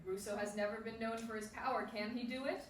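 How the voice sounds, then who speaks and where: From a little way off in an echoey hall, a woman plays a sports reporter in a stage drama. She has a slightly high voice and a dramatic, probing broadcast delivery. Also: fairly even intonation, fast talker, lightly acted. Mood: hyped. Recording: echoey hall, distant mic, faint noise.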